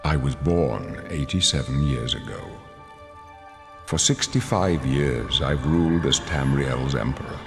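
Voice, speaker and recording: Very deep, slow old emperor. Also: male voice, vocal fry, heavily acted. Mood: solemn foreboding. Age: older. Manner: grave, stately, measured. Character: weary, solemn aged emperor foretelling doom. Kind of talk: solemn dramatic game narration. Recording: long reverb under loud music.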